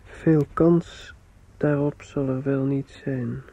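Polite young student in a radio play, very soft dark tone, takes his time, bit of hiss. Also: mid-range voice, steady tone, creaky, dry recording, poor audio. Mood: wistful. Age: young adult. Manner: polite, measured, earnest. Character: earnest, polite young male student, devoted and concerned. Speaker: actor. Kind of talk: radio drama acting.

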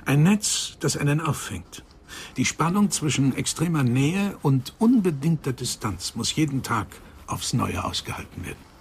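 An actor playing an earnest psychoanalyst of nearly eighty in a German radio crime drama, speaking in a measured, emphatic way. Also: male voice, fairly high pitch, sing-song, breathy edge, heavily acted. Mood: reflective, admiring. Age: older.

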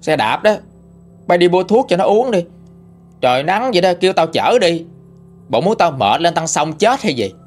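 A man's voice: sits high, very animated, moderate pace, pauses often, faint music behind, voice pushed up. A man voicing a cruel, scolding older mother-in-law with a harsh, taunting way of talking. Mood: scornful.

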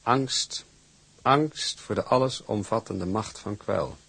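A creaky, low-voiced businessman for a radio play. He is formal and measured, with a very husky tone and grave, deliberate delivery. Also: a bit bright, full character voice. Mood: grave, sympathetic. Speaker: actor in a radio drama. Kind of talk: Dutch radio play acting.

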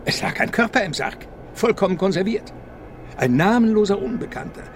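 Cop telling spooky cemetery legends in a radio play, lively highish voice, street noise behind. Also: male voice. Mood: suspenseful, relishing. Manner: storytelling, pausing for suspense. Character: male English policeman recounting eerie cemetery legends. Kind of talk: German radio crime drama acting.

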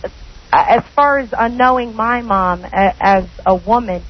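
A woman's voice: fairly high pitch, a little slow. A young woman calling in, speaking earnestly in praise of someone. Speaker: caller, the guest's daughter. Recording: muffled broadcast recording.

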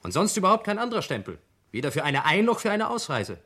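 A man's voice: sits high, sing-song, quick pace, sharp tone, exaggerated voice. An actor playing an indignant, self-assured young man under police questioning in a German radio crime play, defensive and irritated. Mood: irritated, challenging.

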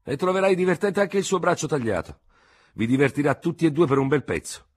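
A man's voice: mid-range voice, expressive, brisk, breathy edge, pitched above the performer's own voice. A man playing a terse, irritated young army lieutenant in a read-aloud novel. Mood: curt, urgent. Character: curt, rattled young male army lieutenant.

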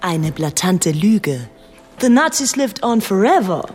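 A woman's voice: mid-range voice, big pitch swings, takes it slowly. An actress playing a coy, teasing woman in a stagey bilingual comedy double act, punning and mixing English with broken German. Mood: mock reproachful. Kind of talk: stagey language-lesson comedy sketch dialogue. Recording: loud music behind the voice.

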